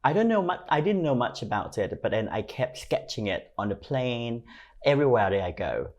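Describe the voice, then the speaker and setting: A man's voice: mid-range voice, very animated, moderate pace, darkish tone. A man in his forties with a Thai accent, telling his own story conversationally as an interview guest. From Thailand. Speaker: podcast guest, fashion stylist and creative consultant.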